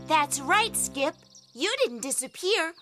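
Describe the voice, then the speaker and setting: A calm, knowing cartoon salamander speaks high and with lots of lift. Her manner is reassuring and patient. Children's music plays clearly underneath. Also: takes it slowly, full character voice. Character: sleepy, wise salamander.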